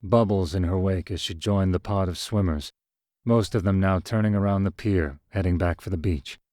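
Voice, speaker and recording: Low-voiced man narrating a story, dry close mic. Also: dry recording. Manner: measured, even storytelling. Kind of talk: scripted first-person story narration.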